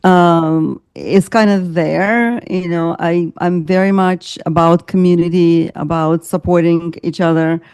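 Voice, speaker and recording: A woman's voice: fairly low pitch, steady tone, unhurried, few pauses, darkish tone, smooth, dry recording. An older woman from Ukraine with an Eastern European accent, chatting as an interview guest over a video call, laughing often and using many fillers. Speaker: guest coach. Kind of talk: casual interview chat.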